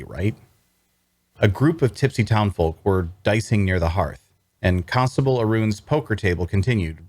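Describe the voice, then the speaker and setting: A man's voice: fairly low pitch, no room sound. An actor playing an earnest, cash-strapped traveler, telling his tale in first-person fantasy narration and dialogue. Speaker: narrator and lead actor in a table read.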